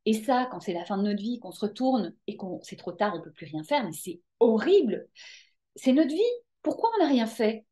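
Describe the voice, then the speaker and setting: Woman, guest coach; lively, emphatic, casual with hesitant fillers. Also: on the high side, normal speed. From Belgium. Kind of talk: casual interview chat.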